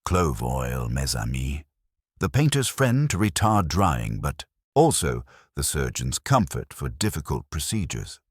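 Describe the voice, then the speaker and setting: A man playing a shrewd, courteous Belgian detective in an audiobook, speaking in formal, measured, theatrical deductions. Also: very deep voice, very animated, breathy edge, no echo, studio quality, exaggerated voice. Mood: gentle, deductive.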